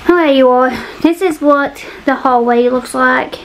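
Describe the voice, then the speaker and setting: High-voiced Southern American woman, folksy. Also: measured pace, over music. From United States. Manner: folksy, colloquial. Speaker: vlogger. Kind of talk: casual chat to camera while cleaning. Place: indoors in a cluttered home hallway. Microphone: camera mic, arm's length to a few steps away. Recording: distant microphone with noticeable room noise.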